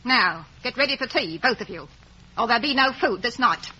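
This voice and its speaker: Domineering scold, high forceful voice, animated pitch, vintage radio drama. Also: female voice, fairly quick, breathy edge, exaggerated voice, low-quality recording. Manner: sharp, bossy, nagging. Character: bad-tempered, scolding, domineering adult sister, blacksmith's wife. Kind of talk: 1950s radio drama acting.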